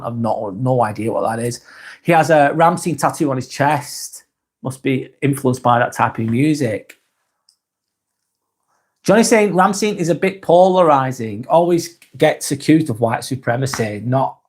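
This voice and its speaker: A man from Lancashire with a Lancashire accent, hosting a casual live stream and reading viewer comments aloud in a soft, hesitant way. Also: mid-range voice, lively intonation, measured pace. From Lancashire, England.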